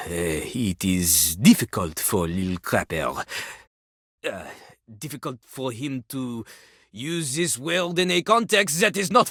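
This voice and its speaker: Cocky French male toilet character, self-styled rapper, theatrical, swooping pitch, close dry sound, video game. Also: fairly bright tone, dry recording, exaggerated voice. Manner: pompous, theatrical, refers to himself in third person.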